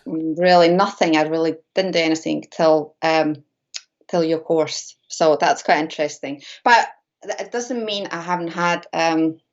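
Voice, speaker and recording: A woman's voice: deep voice, unhurried, lo-fi sound. A Latvian woman, a native Latvian speaker, talking as a guest about mediumship in a hesitant, filler-heavy chat over a video call. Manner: hesitant, many fillers and restarts. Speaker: guest medium and shamanic practitioner. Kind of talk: casual interview chat.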